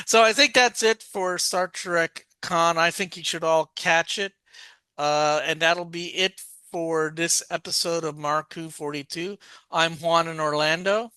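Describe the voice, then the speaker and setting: A man with a fairly high, very bright and clean voice hosts a casual podcast. He talks in a halting, rambling way, often doubling back to restart a phrase. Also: clear, resonant tone, no echo. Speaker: podcast host. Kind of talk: casual review chat.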